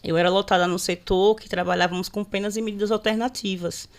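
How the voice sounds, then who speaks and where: A woman in her thirties from Sergipe, with a Sergipe Brazilian accent, telling stories in an unhurried, conversational way as a guest on a videocast, often tagging her phrases with a questioning aside. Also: medium pitch, steady tone. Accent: Sergipe Brazilian Portuguese. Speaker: public servant guest on a videocast. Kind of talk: conversational storytelling in a panel discussion.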